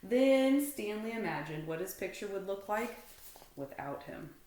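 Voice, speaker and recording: Animated woman reading in an echoey room. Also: mid-range voice, a little slow, slight background noise. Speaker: teacher reading aloud to pupils. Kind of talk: expressive children's storybook reading. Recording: roomy indoor echo.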